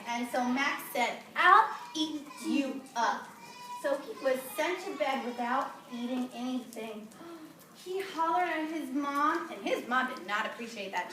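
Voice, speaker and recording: A woman teacher reading a picture book aloud to young children, pausing to ask questions and gently correct in simple classroom phrases. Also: high-pitched. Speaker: preschool or early grade teacher. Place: classroom with children, recorded from a distance. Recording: echoey room, distant mic, noisy background.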